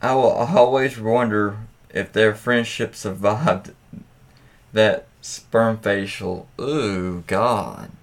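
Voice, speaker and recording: A man's voice: fairly low pitch, takes it slowly. A man hosting a casual story read-aloud, speaking candidly and crudely with his own reaction asides. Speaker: host reading stories aloud with commentary.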